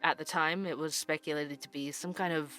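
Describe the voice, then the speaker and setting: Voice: mid-range voice, fairly even intonation, a little fast, pushed and bright, lightly acted. An actor playing a wry, skeptical investigative radio host in an audio drama, conversational and sardonic. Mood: measured. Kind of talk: audio drama radio show monologue and interview. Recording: music under the voice. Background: music.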